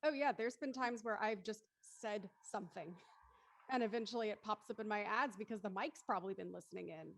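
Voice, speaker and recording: A woman's voice: medium pitch, brisk, creaky. A woman hosting an online panel discussion in an effusive, polite way. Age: adult. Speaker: host. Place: indoors on a group video call.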